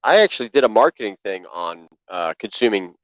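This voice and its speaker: Man, podcast co-host, lively swooping pitch, dry close sound, dull lo-fi audio, joking banter. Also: fairly quick, no room sound. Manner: animated, spins absurd schemes. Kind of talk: casual comedic banter.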